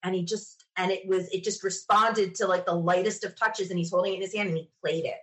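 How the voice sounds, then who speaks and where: A woman chatting casually as an interview guest, retelling things in an enthusiastic, animated manner. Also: medium pitch, brisk, low-quality recording. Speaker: podcast interview guest, sci-fi podcaster. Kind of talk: casual conversational storytelling.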